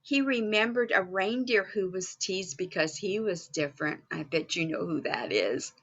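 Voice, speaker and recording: A woman's voice: fairly low pitch, expressive, moderate pace, fairly bright tone, lo-fi sound. A woman playing a cheerful, motherly Mrs Claus, reading a story aloud to young children and putting questions to them. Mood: gentle storytelling. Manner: exclaiming, addressing young children directly with questions.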